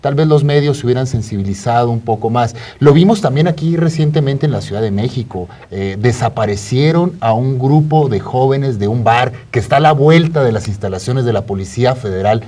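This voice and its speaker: A man's voice: medium pitch, brisk, hardly any pauses, dark tone. A man with a Mexican accent co-hosting a studio program and giving conversational news commentary. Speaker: co-host commentator. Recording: voice distant from the microphone.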